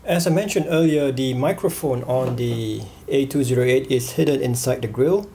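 A man reviewing a walkie-talkie at a desk, pausing often with fillers and restarts. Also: mid-range voice, mellow, slight background noise. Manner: halting, many fillers and restarts. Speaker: gadget reviewer. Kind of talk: product review voice-over.

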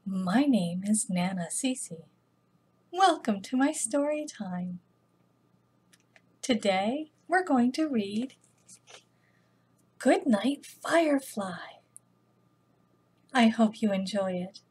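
A middle-aged woman narrates a children's picture book as a storytime host. Her voice is fairly high and husky, and she reads slowly and gently. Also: expressive. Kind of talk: children's read-aloud narration.

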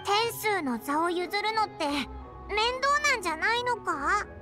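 A woman's voice: animated, unhurried, music in the background. A woman voicing a small childlike fairy companion in a video game, cheeky and curious, with blunt boyish speech. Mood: curious, lively. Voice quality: squeaky, childlike. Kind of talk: Japanese video game voice acting.

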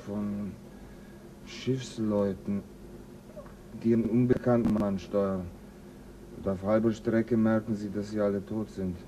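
A man's voice: on the low side, little pitch movement, distant mic, noisy background, natural voice. An actor playing a brooding male crewman who talks in a reflective way, in a radio play. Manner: slow, reflective.

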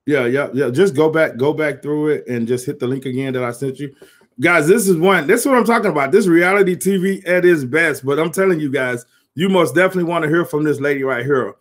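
A man's voice: fairly high pitch, fairly quick. A man, hosting a casual livestream interview over a video call from a small home office, talking with lots of energy and hyping up his guest. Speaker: host. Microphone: webcam on a video call.

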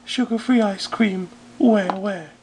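A man voicing a giggly, vain young woman in a toy figure parody play, in a high, bubbly, breathless falsetto. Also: takes it slowly, darkish tone, slight background noise. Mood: sad.

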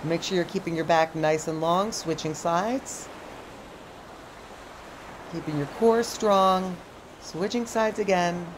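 Female stretch instructor, middle-aged, lowish voice, steady step-by-step cues, beach recording with surf. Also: takes it slowly, long pauses. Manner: calm step-by-step cueing. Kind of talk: guided stretching class. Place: sandy beach outdoors by the ocean. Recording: outdoor surf noise behind the voice. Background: ocean waves.

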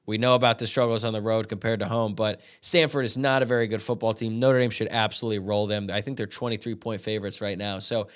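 A man's voice: on the low side, flat delivery, quick pace, hardly any pauses, clean tone. Young man talking sports casually. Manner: casual, conversational, many "uh" and "like" fillers. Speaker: podcast host. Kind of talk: solo postgame sports analysis. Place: small room, indoors. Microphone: studio microphone in front of him.

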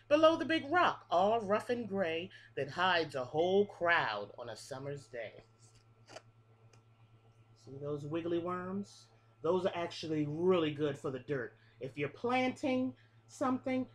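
Preschool teacher, woman, middle-aged; rhythmic read-aloud with asides to the kids, slightly low voice, very lively melody, close webcam. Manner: sing-song rhythmic reading with explanations to children. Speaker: pre-K teacher. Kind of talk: children's picture book read-aloud. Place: small room with a classroom wall display. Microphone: webcam at close range.

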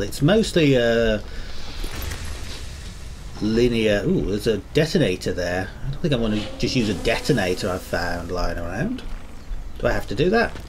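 A man with a slightly croaky voice giving rambling, enthusiastic commentary while playing a game. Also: mid-range voice, a little hiss or hum. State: slightly croaky, under the weather. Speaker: gameplay commentator. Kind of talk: casual gameplay commentary.

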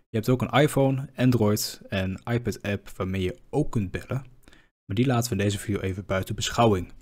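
A man narrates a software walkthrough in an informal tutorial style. His mid-pitched voice has a slightly husky edge as he explains things step by step.

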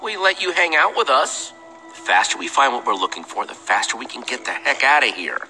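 A man's voice: medium pitch, sing-song, brisk, pushed and bright, in a large hall, faint music behind. An actor playing a pragmatic, wry young male adventurer and leader in a video game. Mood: wry, focused.

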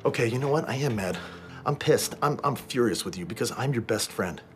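A man's voice: mid-range voice, expressive, brisk, slight background noise, lightly acted. An actor playing an anxious male doctor who pleads with his best friend, frantic at times and deflated at others. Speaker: hospital doctor. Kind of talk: TV drama acting.

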